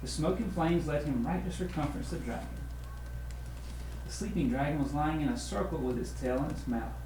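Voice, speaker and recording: A man narrates a children's storybook in the role of a teacher. His tone is soft-edged and mellow. The recording has clear room echo and noise behind it. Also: fairly high pitch, a little fast. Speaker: teacher reading a storybook aloud. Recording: roomy echo with noisy hiss.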